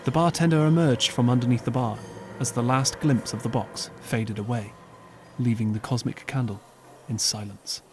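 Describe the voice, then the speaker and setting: A man narrating an audiobook as scripted storytelling, measured and earnest, with dramatic pauses. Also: medium pitch, steady tone, darkish tone, a little hiss or hum. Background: clear background music.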